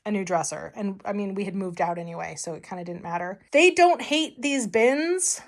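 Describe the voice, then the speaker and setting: A woman with a hoarse, worn voice talks casually as she walks through her house. She rambles, chats and laughs easily, and her voice sits at an ordinary middle pitch. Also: animated, normal speed. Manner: rambling, chatty, laughs often. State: hoarse from a long cough. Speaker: vlogger. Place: family house, indoors.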